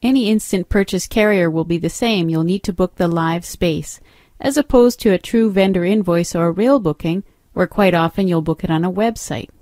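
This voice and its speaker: A woman narrating an instructional software demo as a trainer, explaining step by step in an instructive way. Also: on the low side, moderate pace, no echo, clean studio sound.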